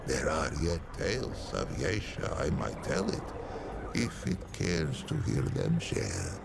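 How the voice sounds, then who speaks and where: Ancient otherworldly funerary priest, game dialogue, slow ornate delivery, deeply gravelly, weary, music behind. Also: male voice, huge pitch swings, very noisy, exaggerated voice. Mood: wistful, warm. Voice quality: deep gravelly creaking voice. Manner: slow, formal, archaic, musing with hums. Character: ancient, weary, ornate-spoken priest of the dead, non-human. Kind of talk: theatrical video game character dialogue.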